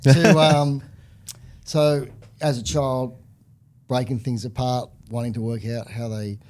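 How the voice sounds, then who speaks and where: A man's voice: medium pitch, little pitch movement, measured pace, darkish tone. A man hosting a podcast, asking questions and giving short affirming remarks in a casual chat. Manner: brief affirming interjections. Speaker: podcast host.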